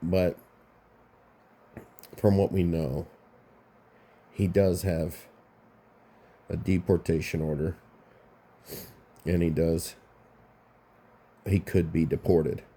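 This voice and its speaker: A man's voice: deep voice, little pitch movement, slow pace, pauses often, warm tone, breathy edge. A man hosting a casual commentary vlog, sounding congested and rambling, often restarting his phrases. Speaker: YouTube commentary host.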